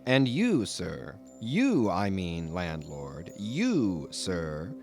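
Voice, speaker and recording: Guy playing a huffy lodger in an audiobook, pompous and emphatic, pitch jumps around a lot. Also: huge pitch swings, extremely slow, over music, heavily acted. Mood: stern, exasperated. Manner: pompous, emphatic, formal phrasing. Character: indignant, wordy young male lodger, excitable. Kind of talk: audiobook character voice.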